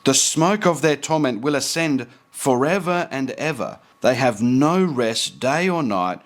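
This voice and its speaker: A man's voice: medium pitch, expressive, a little slow. Earnest man preaching and reading aloud. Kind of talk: preaching commentary with read-aloud quotations.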